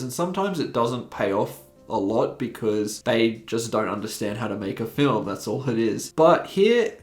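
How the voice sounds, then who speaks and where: A man's voice: medium pitch, roomy sound. A man in his twenties with an Australian accent, talking enthusiastically as he reviews a film in a casual monologue. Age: 20s. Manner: enthusiastic, animated talker.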